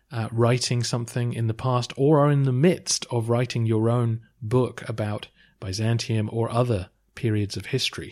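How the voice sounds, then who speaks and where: Male podcast host, measured scripted delivery, average pitch. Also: darkish tone. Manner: measured, occasional um and uh. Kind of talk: scripted podcast intro and interview.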